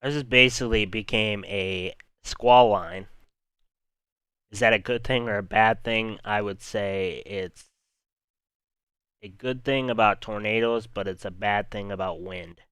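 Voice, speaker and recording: A man hosts a live weather stream in a calm, meandering way and speaks straight to his viewers. His voice is bright and very clear, with a slightly low pitch. The sound is close and dry. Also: measured pace, no echo. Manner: calm, rambling, talks to chat viewers. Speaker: livestream weather host.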